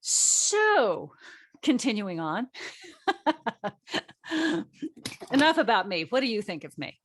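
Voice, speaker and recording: A woman's voice: a little high, big pitch swings, takes it slowly. Chatty middle-aged woman telling stories. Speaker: playwright and event host. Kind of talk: casual group chat, storytelling.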